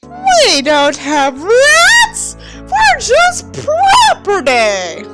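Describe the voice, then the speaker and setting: A woman puts on a melodramatic, self-righteous teenage boy reading out his grievances like an audiobook. The voice is high and swings wildly, dragging out words in bitter, overwrought emphasis. Music sits behind it. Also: huge pitch swings, extremely slow, full character voice. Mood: outraged. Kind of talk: mock-dramatic audiobook reading.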